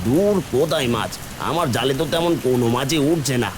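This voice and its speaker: A man playing a poor, gentle village father in a cartoon, soothing and resigned. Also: mid-range voice, brisk, background noise, natural voice. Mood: resigned, weary. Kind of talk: Bengali cartoon voice acting.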